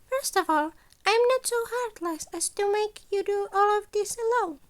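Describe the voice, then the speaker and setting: A woman voicing a small male rodent-like school principal from a story, formal and measured, a polite but exacting schemer. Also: extremely high pitch, voice pushed up. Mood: firm, courteous. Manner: formal, measured, emphatic phrasing.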